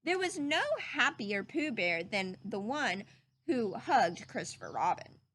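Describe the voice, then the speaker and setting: A woman reading a story aloud to children like a teacher, with expressive, dramatic emphasis. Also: medium pitch, measured pace, low-quality recording.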